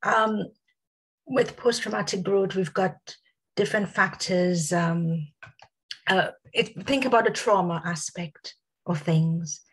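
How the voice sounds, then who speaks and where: A woman giving a prepared talk on a video call, losing her voice and clearing her throat, measured and listing points with frequent ums. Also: medium pitch, rough recording. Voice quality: husky, gravelly. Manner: measured, reads out lists with many ums. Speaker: guest speaker. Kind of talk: prepared talk at an online summit.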